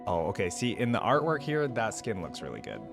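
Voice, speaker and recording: A man's voice: lowish voice, huge pitch swings, a little fast, gravelly. A man hosting a reaction video with casual, informal commentary, rambling as he thinks aloud. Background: game music playing.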